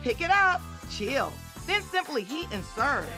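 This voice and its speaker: A woman's voice: sits high, big pitch swings, measured pace, over music. A woman reading an upbeat ad in a bubbly, sing-song way. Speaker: ad spokeswoman. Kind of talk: upbeat radio ad read.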